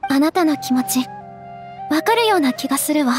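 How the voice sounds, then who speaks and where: Game noble lady, young woman, caring, soft refined delivery, very high pitch. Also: animated, a little fast, over music. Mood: concerned. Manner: soft, polite, refined speech.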